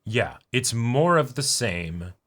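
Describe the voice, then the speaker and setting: A man co-hosting a casual reaction discussion, repeating words haltingly as he works out his thoughts. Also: mid-range voice, big pitch swings, a bit bright. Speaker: co-host of anime reaction show.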